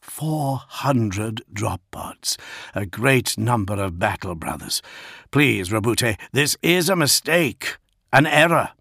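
A man voicing a proud, earnest knightly commander in an audiobook, speaking with measured, insistent intensity. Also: on the low side, lively intonation, creaky, fairly bright tone, slightly rough, no echo, studio quality, heavily acted. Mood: pleading. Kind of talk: audiobook character acting.

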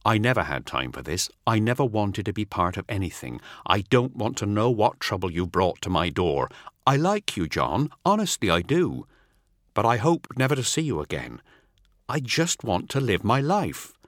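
A man's voice: lowish voice, very animated, moderate pace, fairly bright tone, dry recording, studio quality. A man voicing a tired former soldier turned farmer who curtly refuses to be drawn back. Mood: firm. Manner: firm, curt.

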